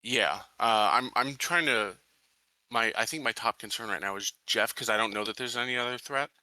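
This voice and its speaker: A man's voice: medium pitch, moderate pace, sharp tone, no room sound, poor audio. A man playing a tabletop roleplaying game, chatting casually and hesitantly as he thinks aloud.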